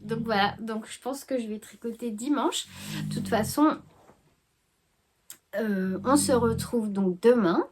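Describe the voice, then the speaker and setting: A woman's voice: a little high, unhurried, pauses often, background noise. A woman chatting casually about her knitting to her viewers, stuffy from a head cold, talking hesitantly with many fillers and restarts. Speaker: knitting vlogger. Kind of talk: casual chat to camera.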